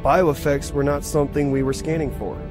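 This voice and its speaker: A man plays a formal, matter-of-fact starship officer in a science fiction audio drama. His voice sits a little high and sounds earnest. Music is clearly heard behind him. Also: little pitch movement, moderate pace, natural voice. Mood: formal, measured.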